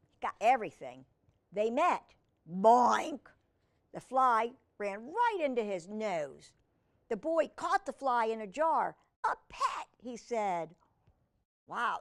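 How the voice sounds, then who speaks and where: A woman hosting a children's storytime, reading a picture book aloud expressively and adding chatty asides and questions to her young viewers. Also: on the high side.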